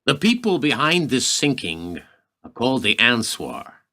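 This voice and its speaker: A man plays a serious government defense chief in a radio drama. The voice is bright and forceful, middle in pitch, with animated intonation and a formal, well-informed manner. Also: unhurried. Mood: grave, urgent. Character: male Secretary of Defense, grave, formal, well-informed adviser. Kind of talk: radio play acting.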